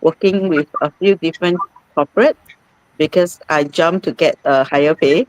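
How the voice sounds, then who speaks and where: A woman hosts an informal live stream, speaking haltingly and often rephrasing as she goes. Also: sits low, darkish tone, no echo, poor audio. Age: 40s. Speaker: host.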